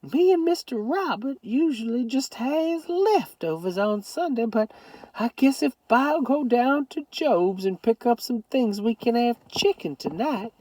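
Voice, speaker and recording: A woman voicing a loud, bossy elderly grandmother with a Southern accent. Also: on the high side, lively intonation, unhurried, dry recording, pitched above the performer's own voice. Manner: shouting, weepy, domineering.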